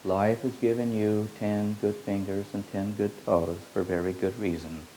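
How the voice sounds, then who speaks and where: A man with a very low voice gives a spiritual teaching, repeating his phrases slowly like an incantation. His pitch barely moves. A faint hiss from an old tape sits under the voice. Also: little pitch movement, warm tone, low-quality recording. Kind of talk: slow meditative spiritual teaching.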